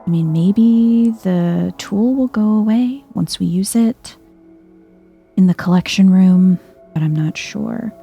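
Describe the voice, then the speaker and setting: A soft-spoken woman giving calm, casual let's play commentary in an ASMR vibe, musing aloud as she plays. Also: mid-range voice, slow, gravelly, breathy edge, faint music behind. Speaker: video game streamer, let's player.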